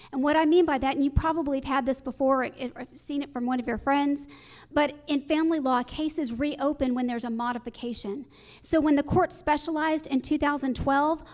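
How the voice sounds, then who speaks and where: Woman running for office, pretty high voice, earnest, arguing her points at a forum. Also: darkish tone. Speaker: judicial candidate at a forum. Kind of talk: prepared political forum answers.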